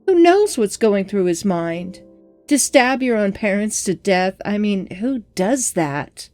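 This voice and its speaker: A woman plays a young female assistant editor in an audio drama, worried and insistent. Her tone is mellow and rounded, with middling pitch. She pleads earnestly over a light music bed. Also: lively intonation, slow. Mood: alarmed, insistent.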